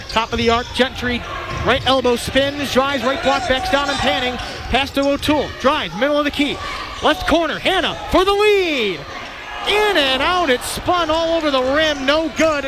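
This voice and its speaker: High-voiced man calling basketball on radio. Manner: rapid, punchy call of the action. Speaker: play-by-play announcer. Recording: live arena broadcast with crowd and music.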